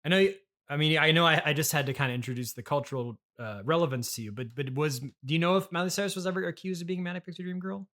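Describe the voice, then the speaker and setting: A man's voice: on the high side, fairly bright tone. Casting: American man, casual interviewer in improv comedy, hesitant and courteous, the puzzled straight man. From United States, Illinois. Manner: halting, politely baffled straight man. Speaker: podcast host and interviewer.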